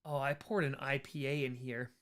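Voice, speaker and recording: An American man talks casually to his livestream audience as host of a Q&A. His pitch is middling, and his delivery is animated. He tends to repeat short phrases to drive them home. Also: moderate pace, smooth. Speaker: livestream host answering chat questions.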